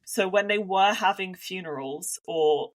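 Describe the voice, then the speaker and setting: A woman's voice: lowish voice, a little slow, poor audio. Thoughtful woman with a Devon accent. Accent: Devon English. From Devon, England. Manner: thoughtful, hedging with many restarts. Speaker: podcast co-host. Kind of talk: casual conversational podcast.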